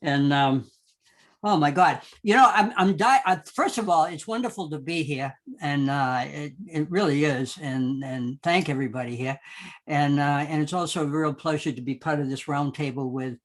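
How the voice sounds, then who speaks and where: Effusive, halting man on a panel. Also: a little high. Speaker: roundtable panelist. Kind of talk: heartfelt unscripted remarks.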